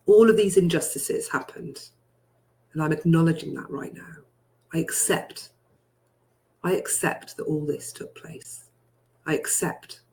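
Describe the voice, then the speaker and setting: Someone leading a guided healing meditation, medium pitch, deliberate n repetitive, leaning hard on the affirmations. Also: a little mellow, poor audio. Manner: deliberate, repetitive, emphatic phrasing. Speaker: guided healing session leader. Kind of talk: guided meditation with repeated first-person affirmations.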